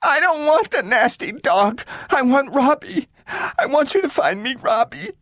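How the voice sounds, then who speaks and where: A man doing a shrill excited little girl. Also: unhurried, dry recording, studio quality, heavily acted. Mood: tearful. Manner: shrill, pleading, wailing.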